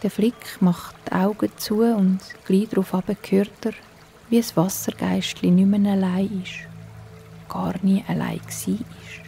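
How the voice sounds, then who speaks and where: A woman with a Swiss German accent, telling a bedtime story in a soft, hushed, soothing way. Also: mid-range voice, flat delivery, unhurried, vocal fry, soft background music.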